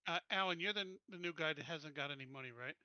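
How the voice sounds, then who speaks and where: A man's voice: fairly high pitch, quick pace, bright, forceful tone, clear, resonant tone, no echo, lo-fi sound. A man chatting casually as a player in a tabletop roleplaying game.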